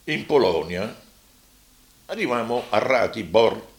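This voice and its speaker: An elderly man giving spontaneous testimony as a survivor, halting and repetitive but emphatic. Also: on the low side, animated, gravelly, reverberant. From Rome, Italy. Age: elderly, over 80.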